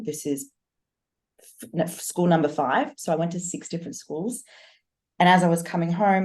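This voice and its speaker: A woman with a remarkably deep voice talks through her past in long, flowing stories. She is earnest and reflective, a podcast guest who coaches business owners. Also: a little mellow. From Australia. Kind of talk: personal storytelling in a podcast interview.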